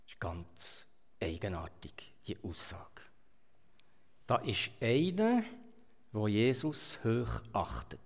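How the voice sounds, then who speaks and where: A man from Switzerland with a Swiss German accent, preaching a sermon in a storytelling manner, swinging between loud emphasis and quiet asides. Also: lowish voice, very animated, takes it slowly, vocal fry, pauses often. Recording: reverberant hall.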